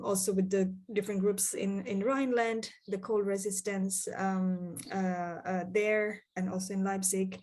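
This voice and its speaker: A woman's voice: medium pitch, a little mellow. A young woman from Bangladesh, a climate activist, answering interview questions thoughtfully with many fillers and restarts.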